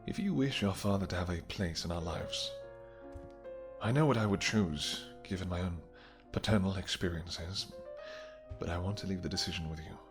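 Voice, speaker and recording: A man playing a tender, regal vampire king in a romantic fantasy audio roleplay, speaking softly and courteously with a halting delivery. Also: low-pitched, music in the background. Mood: gentle, earnest. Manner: soft, courtly, hesitant, halting phrasing. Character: tender male vampire king, winged, regal and devoted lover.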